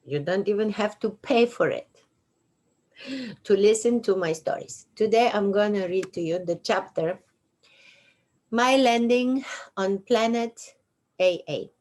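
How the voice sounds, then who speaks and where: A woman in her sixties with a Bulgarian accent, host of her own show, reading her memoir aloud slowly and deliberately with dry humor. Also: mid-range voice, darkish tone. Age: 60s. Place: at home on a couch.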